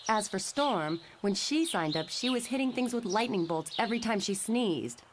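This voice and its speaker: A woman's voice: medium pitch, animated, normal speed, noisy background, natural voice. A woman voicing a friendly, knowing young telepath who guides a newcomer in a motion comic, chatty and matter-of-fact. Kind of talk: expository motion comic voice acting.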